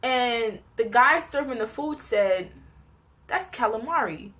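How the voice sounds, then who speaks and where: A young woman tells personal stories in a casual, chatty vlog style, animated and giggly as she acts out little reactions. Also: fairly high pitch, takes it slowly. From New York, United States. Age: young adult. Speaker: vlogger telling personal stories.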